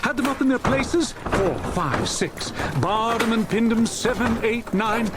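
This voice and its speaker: A man's voice: very high voice, unhurried, very noisy. A man narrating a dramatized storybook in a theatrical, jovial manner. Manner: theatrical, jovial, relishing lists. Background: music.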